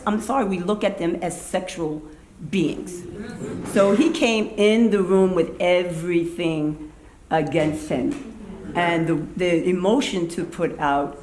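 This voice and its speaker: An audience member giving an earnest opinion at a theater talkback, often restarting sentences while searching for words. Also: mid-range voice. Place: theater event space, indoors. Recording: echoey room, faint background noise.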